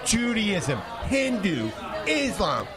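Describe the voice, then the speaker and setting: A man with a high voice hosts a casual, bantering podcast. He talks haltingly, circling back over his own words, while a loud crowd chatters all around him. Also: huge pitch swings. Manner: halting, repeats himself. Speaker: podcast host. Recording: crowded, noisy public room chatter.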